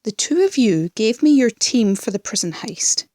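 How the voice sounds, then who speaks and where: A woman's voice: a little high, expressive, moderate pace, darkish tone, no echo, studio quality. A woman playing a scheming, domineering crime boss with a commanding, sardonic manner in an audio drama. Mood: irritated. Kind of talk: fan audio drama acting.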